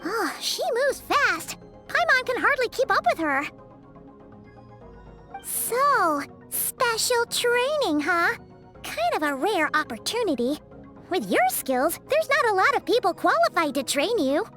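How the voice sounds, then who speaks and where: A tiny, cheeky game fairy with an extremely high, squeaky voice. She is eager and childlike, swinging up and down in pitch, bright and piercing, over background music. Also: unhurried, exaggerated voice. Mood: curious. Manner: refers to self in third person, excitable. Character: small chirpy childlike female fairy sidekick, cheeky and eager. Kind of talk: video game voice acting. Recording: music behind the voice.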